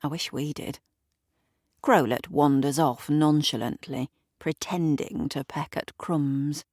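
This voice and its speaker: A woman's voice: sits low, slow, darkish tone, no room sound, studio quality. A woman narrating an audio drama in the present tense, storytelling with chatty asides to the listener. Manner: conversational asides to the listener, acting out characters.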